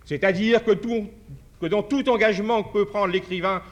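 A man giving a formal address at a writers' congress, telling a parable in a measured way and restarting phrases as he goes. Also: high-pitched, fairly even intonation, lo-fi sound. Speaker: conference speaker. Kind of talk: formal speech at a podium.

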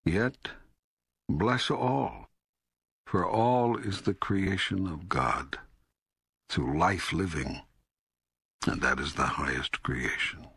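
A man voicing God as a calm, authoritative teacher in an audiobook, raspy, deliberate and solemn. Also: low-pitched, dry recording, clean studio sound, heavily acted. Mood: firm, assured. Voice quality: husky, raspy. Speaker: audiobook narrator. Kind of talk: scripted audiobook dialogue reading.

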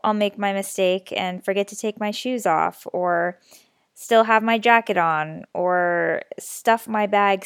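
A young adult woman hosting a personal storytelling podcast, a little raspy and stuffy from a cold, candid and chatty. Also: medium pitch, no room sound, studio quality. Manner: candid, self-deprecating, chatty. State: slightly sick, stuffy nose. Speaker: podcast host.

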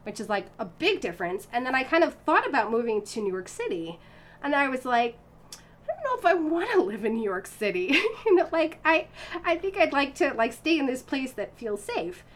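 Animated storytelling woman, higher voice. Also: moderate pace. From United States. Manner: animated, frequent fillers and restarts, laughs often. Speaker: interview guest, violinist. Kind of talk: casual conversational storytelling.

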